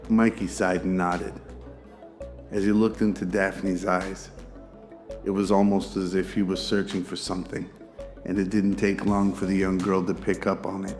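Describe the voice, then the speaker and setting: A man's voice: low-pitched, flat delivery, moderate pace, darkish tone, faint music behind. A man narrating a scripted audio drama.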